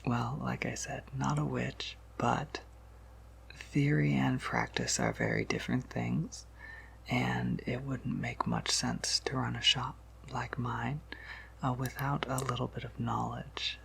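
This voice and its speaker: A man playing a polite, slightly scatterbrained vampire apothecary in an ASMR roleplay, whispering gently and hesitantly to the listener. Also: sing-song, takes it slowly, heavily acted. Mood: musing, modest. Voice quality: breathy whisper breaking into creaky low murmur. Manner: gentle, considerate, hesitant with small asides.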